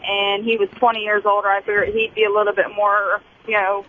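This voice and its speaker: A woman's voice: mid-range voice, completely flat delivery, a little fast. A woman calling in to a talk show, speaking about her personal life over a tinny, faintly noisy phone line. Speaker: caller.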